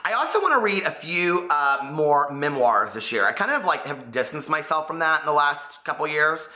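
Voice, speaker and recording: A man's voice: a little high, moderate pace. Chatty man with a worn-out voice. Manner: chatty, rambling, expressive. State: voice partly lost, recovering. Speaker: book vlogger. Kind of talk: casual chat to camera. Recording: echoey room.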